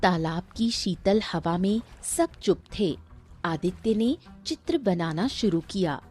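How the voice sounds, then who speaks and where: Female storyteller, scripted storybook narration, unhurried, soft dark tone, faint music underneath. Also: lowish voice, animated, breathy edge.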